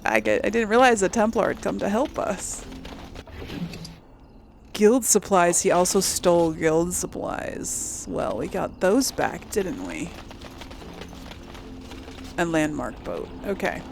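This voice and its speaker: A woman narrates her game casually, thinking aloud. Her pace is relaxed and her intonation swings freely, with some creak in the voice and faint noise behind. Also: fairly low pitch, takes it slowly, long pauses. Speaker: video game streamer, host. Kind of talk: casual let's play commentary.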